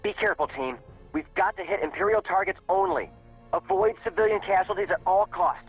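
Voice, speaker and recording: A young squadron leader in a space combat game, earnest and steady. His fairly high voice moves around a lot as he gives urgent, clipped orders. The recording is muffled, with music behind it. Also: a little fast, husky. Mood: urgent command. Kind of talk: video game cutscene acting.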